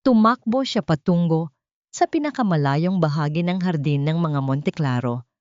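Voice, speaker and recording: A woman narrating a dramatic audiobook story in a measured, solemn manner. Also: on the low side, mellow, dry recording. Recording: dull, dry lo-fi recording.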